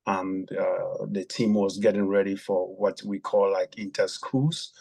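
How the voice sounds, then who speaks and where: A man from Ghana, a podcast guest telling his story with many pauses and ums. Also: low-pitched, fairly even intonation, vocal fry, darkish tone, husky. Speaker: guest, former javelin athlete.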